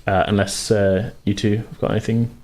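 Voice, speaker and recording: A man's voice: fairly low pitch, flat delivery, brisk, darkish tone. A man casually refereeing a tabletop game as game master, hesitant and easygoing as he thinks aloud.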